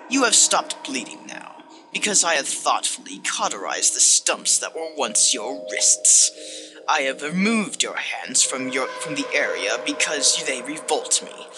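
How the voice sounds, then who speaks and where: A condescending, unstable machine-like narrator acted out by a man torments the player of a text game. The delivery is dramatic, deliberate and full of disdain. Prominent music plays behind the mid-pitched voice. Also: close to an everyday voice, poor audio. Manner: dramatic, disdainful, deliberate second-person address.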